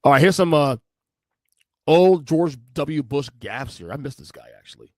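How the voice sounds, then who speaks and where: A man's voice: on the high side, lively intonation, normal speed, no echo, clean studio sound. A man in his forties hosting a podcast, giving rambling, emphatic commentary on video clips and often repeating himself. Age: 40s. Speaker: podcast host.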